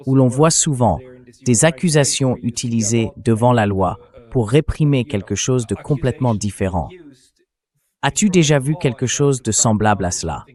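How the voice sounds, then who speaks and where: A man's voice: medium pitch, darkish tone. A man hosting an expert discussion in a translated voice-over, conversational and questioning. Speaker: debate host.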